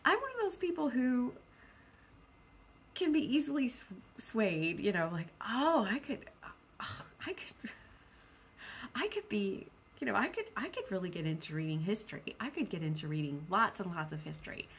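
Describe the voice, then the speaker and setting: A woman's voice: mid-range voice, sing-song, moderate pace, fairly bright tone, slight background noise. A woman chatting casually and spontaneously as a book vlogger, rambling in a playful, self-deprecating way.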